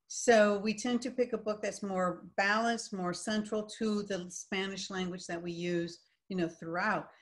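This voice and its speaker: Woman teacher explaining points plainly over a video call. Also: medium pitch.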